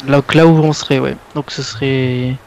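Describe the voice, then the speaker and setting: A man playing a tabletop game, calmly laying out plans. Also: mid-range voice, moderate pace, gravelly, poor audio. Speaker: player. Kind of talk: casual play at a tabletop game.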